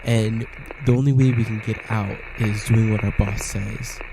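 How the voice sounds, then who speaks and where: A man's voice: lowish voice, steady tone, normal speed, noisy background. A man giving casual voice-over commentary on a horror game, talking in a plain, conversational and slightly hesitant way. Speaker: gameplay commentator.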